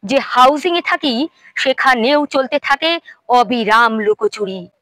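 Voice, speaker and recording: A woman reciting poetry dramatically, impassioned and emphatic, with deliberate pauses. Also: fairly high pitch, expressive, a bit bright, no room sound, poor audio.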